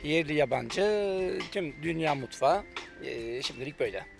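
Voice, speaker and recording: Man, middle-aged, from Turkey, slightly high voice, hesitant with fillers, self-assured, outdoor beach interview, handheld mic. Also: animated, measured pace, vocal fry, music in the background. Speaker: cafe manager. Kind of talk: on-camera interview. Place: outdoors by a beach under trees. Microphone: handheld reporter mic.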